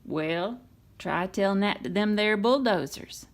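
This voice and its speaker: A woman's voice: mid-range voice, a little slow, lightly acted. Boastful cartoon truck, played by a woman, folksy, proud, tall-tale teller, storybook character. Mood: casual.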